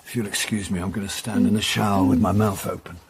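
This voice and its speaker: An actor playing a wry middle-aged actor turned sleuth in a radio drama, with a dry delivery. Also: male voice, fairly low pitch, flat delivery, fast talker, vocal fry, slight background noise. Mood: exasperated. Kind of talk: radio drama dialogue and first-person narration.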